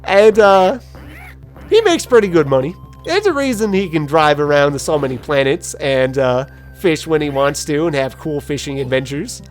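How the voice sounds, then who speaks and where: A gaming video host giving casual gameplay commentary, telling personal stories in a rambling, halting way with quoted asides. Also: mid-range voice, expressive. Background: game music.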